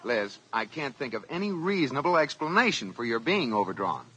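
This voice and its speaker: An actor playing a dry, exasperated banker husband in 1940s radio comedy style, with sarcastic, deadpan comebacks. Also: male voice, mid-range voice, a little fast, heavy background noise, lightly acted. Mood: sardonic. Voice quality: sardonic, deadpan.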